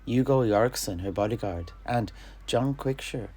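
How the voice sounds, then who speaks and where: A man playing an alchemist storyteller, recounting fantasy legends of his homeland in a theatrical way with dramatic pauses. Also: fairly low pitch, darkish tone, husky. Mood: dramatic storytelling. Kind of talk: in-character fantasy tale narration.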